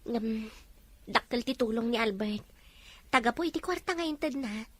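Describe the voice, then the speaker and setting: A woman playing a gentle, worried young mother. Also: fairly high pitch, lively intonation, normal speed. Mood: earnest.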